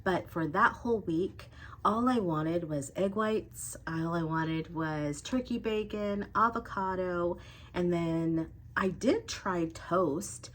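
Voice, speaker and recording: A woman's voice: fairly low pitch, takes it slowly, fairly bright tone. A young adult woman with cold congestion, giving a chatty weight loss update to her viewers. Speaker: vlogger.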